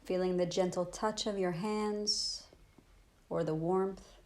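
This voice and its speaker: Gentle woman guiding a meditation. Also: lowish voice, fairly even intonation. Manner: gentle, soothing, unhurried with long pauses. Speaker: meditation teacher. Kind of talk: guided body scan meditation.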